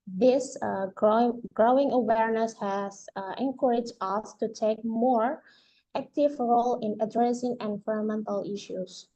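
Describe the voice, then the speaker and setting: A woman from Indonesia with an Indonesian accent, presenting carefully over a video call with frequent pauses. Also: a little high, steady tone, mellow, poor audio. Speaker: presenter from a philanthropic organization. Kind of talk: online conference presentation.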